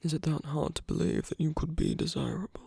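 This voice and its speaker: A man playing a suave, seductive villain with contempt for others in an ASMR romance roleplay, speaking intimately and teasingly in a breathy, husky voice that often nears a whisper. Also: a little high, dark tone, dry recording, heavily acted. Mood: seductive, persuasive. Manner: slow, deliberate, intimate, teasing.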